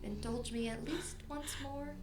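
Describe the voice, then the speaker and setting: A woman's voice: a little high, unhurried, echoey room, noisy background, lightly acted. A woman playing a menacing, playfully cruel villainess in an audio drama, taunting and mock-tender, sometimes sliding into whispers.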